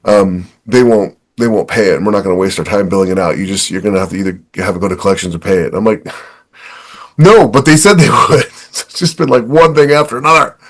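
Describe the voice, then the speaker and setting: A man's voice: fairly low pitch, fast talker. A man co-hosting a podcast, talking casually and emphatically, acting out bits of dialogue as he goes. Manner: emphatic, acts out quoted dialogue. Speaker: podcast co-host. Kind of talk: casual chat.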